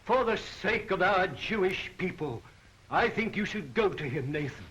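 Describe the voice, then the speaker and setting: An actor playing an earnest, respectful subordinate in 1930s film style. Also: male voice, high voice, animated, moderate pace, a bit bright, a little hiss or hum. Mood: pleading. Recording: dull, band-limited 1930s film soundtrack.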